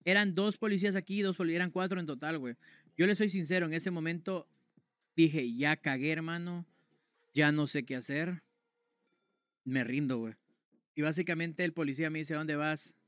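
A young male streamer talks casually to his live chat, telling a story in loose, slangy language. His voice sits a little high for a man. The sound is close and dry, as from a mic in a room at home. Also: normal speed, pauses often, fairly bright tone, no room sound. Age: young adult. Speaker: game streamer.

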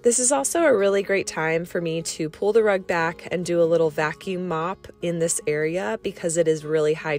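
A woman giving a casual voice-over as a vlog host, explaining cleaning tasks in a chatty way. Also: lowish voice. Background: background music.

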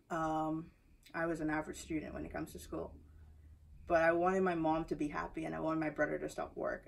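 Soft-spoken young Guyanese woman, low flat voice. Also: recorded from a distance, rough recording. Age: young adult. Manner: soft-spoken, hesitant, many ums and likes. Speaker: athlete introducing herself. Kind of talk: personal story told to camera. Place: small indoor room before a banner. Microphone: camera a few feet away.

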